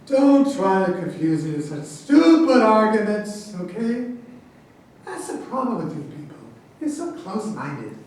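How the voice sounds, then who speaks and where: High-pitched blustering president acted by a man, very echoey. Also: sing-song, unhurried, a little mellow. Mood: indignant, scornful. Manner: emphatic, boastful, dismissive. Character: blustering, defensive male president proud of absurd ideas. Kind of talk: staged reading of a satirical play. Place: echoey room, far from the microphone. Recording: very echoey, distant, noisy hall recording.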